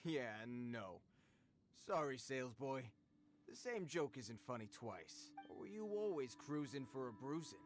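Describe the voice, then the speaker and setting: An actor playing a gruff, tough-talking bandit thug who taunts and mocks, in a game cutscene. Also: male voice, mid-range voice, sing-song, measured pace. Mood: mocking. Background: game music.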